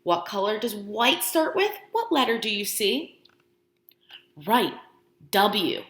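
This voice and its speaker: A woman preschool teacher reading aloud to young children, encouraging them with questions and pauses, using exaggerated, expressive emphasis. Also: medium pitch. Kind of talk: animated children's read-aloud and lesson. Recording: roomy indoor echo.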